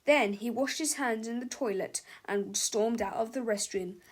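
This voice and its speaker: A woman's voice: a little high, normal speed. A woman reading a children's book aloud as scripted narration.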